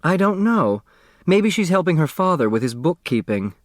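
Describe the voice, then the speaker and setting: A man's voice: fairly high pitch, big pitch swings, normal speed, dry recording, studio quality, pitched above the performer's own voice. A man voicing a breezy, oblivious, sociable middle-aged mother in an audiobook. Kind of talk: audiobook character dialogue.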